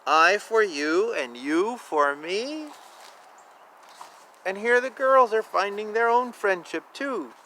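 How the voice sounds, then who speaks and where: A man reads a children's picture book aloud outside, his voice high and very animated. He takes it slowly in a sing-song way, dropping in casual asides, with clear ambient noise around him. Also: poor audio. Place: outdoors. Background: outdoor ambience.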